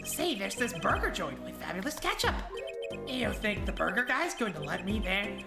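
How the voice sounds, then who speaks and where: A woman's voice: medium pitch, big pitch swings, moderate pace. A woman voicing a brash, self-important male tabloid journalist who rambles and quotes himself, in a fan dub of a game. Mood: rambling. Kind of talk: fan voice acting over a game playthrough. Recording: roomy room with game music. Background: game music.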